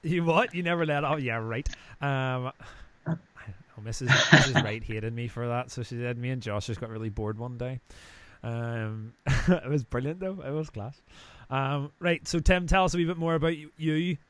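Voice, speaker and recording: A man's voice: medium pitch, normal speed. Northern Irish male host, thirties, casual and jokey, wanders off on tangents. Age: 30s. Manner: chatty, joking, rambling asides. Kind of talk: casual live-stream interview chat.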